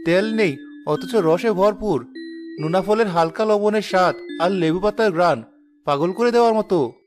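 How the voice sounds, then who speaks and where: A man's voice: high voice, moderate pace, soft background music, lightly acted. A man playing a poor, earnest young father and cook in a cartoon drama, anxious but hopeful. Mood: amazed. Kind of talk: Bengali cartoon drama voice acting.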